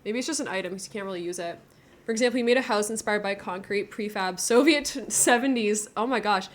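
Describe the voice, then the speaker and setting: A woman streams a building game and chats as she goes. She thinks out loud in a casual, giggly way, at a middling pitch. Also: quick pace. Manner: chatty, thinking aloud, giggly.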